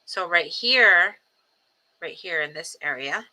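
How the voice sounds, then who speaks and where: A woman in her forties hosting a casual livestream, speaking in an earnest, rambling way with many ums and restarts, steady through her grief. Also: fairly low pitch, slow pace, poor audio. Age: 40s. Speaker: livestream host. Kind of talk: casual reflective livestream talk.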